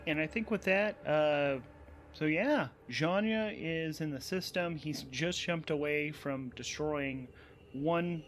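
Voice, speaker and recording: A man hosting and narrating a solo tabletop game in a casual way, thinking aloud and improvising haltingly, often repeating words as he goes. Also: fairly high pitch, fairly bright tone, slight background noise.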